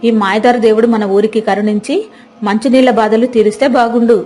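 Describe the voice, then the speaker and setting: A woman's voice: fairly high pitch, steady tone, fast, mellow, faint music behind, natural voice. A woman voicing a burdened village woman complaining in colloquial speech. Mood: burdened complaint.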